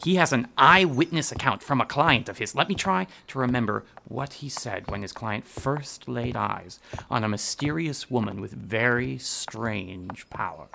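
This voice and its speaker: A man's voice: medium pitch, measured pace, vocal fry, hardly any pauses, noisy background. An actor playing a keen, secretive male writer in a radio drama, explaining himself in a bookish way.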